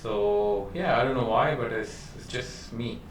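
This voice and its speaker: A man's voice: medium pitch, measured pace. A man hosting a business podcast, talking casually, with many fillers and restarts. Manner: conversational, lots of fillers and restarts. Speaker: podcast host. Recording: roomy echo, distant mic, faint noise.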